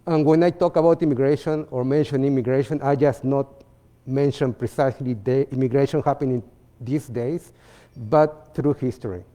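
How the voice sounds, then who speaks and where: A man from Peru with a Peruvian Spanish accent, presenting his artwork in a seminar talk, halting with fillers and restarts. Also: medium pitch, fairly even intonation, dark tone. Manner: halting, many fillers and restarts, non-native phrasing. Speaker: visiting artist giving a seminar talk.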